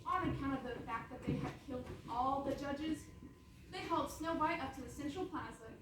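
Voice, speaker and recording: High-voiced woman narrating in an echoey hall. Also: fairly quick, noisy background. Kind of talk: comic scripted narration on stage. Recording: very echoey hall, far from mic.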